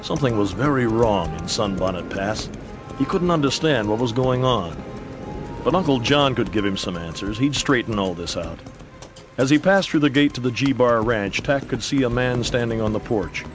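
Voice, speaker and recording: A man's voice: mid-range voice, expressive, normal speed, over music. A man narrating a dramatized Western story in scripted audiobook style.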